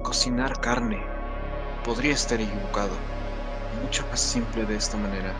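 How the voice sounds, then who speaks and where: A man's voice: lowish voice, fairly even intonation, normal speed, over loud music, natural voice. A man acting a tormented, battle-worn soldier in an audio drama, arguing with himself and barking orders. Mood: brooding, troubled.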